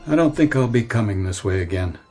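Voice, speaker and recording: Weary, wistful executive in his thirties, low voice, played by a man. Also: animated, brisk, mellow, soft background music, natural voice. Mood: resigned, wistful. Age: 30s. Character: weary, wistful 36-year-old New York ad executive with bad leg. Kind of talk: radio drama acting.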